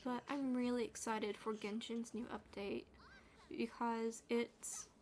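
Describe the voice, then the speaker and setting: A soft-spoken woman chats in a hushed, soothing voice, rambling and restarting as she plays a game. Her pitch sits fairly high but barely moves. A faint hiss of noise runs under the close mic. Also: level tone, takes it slowly. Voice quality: naturally low, quiet and soothing. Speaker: gaming streamer. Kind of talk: soft-spoken gaming chat.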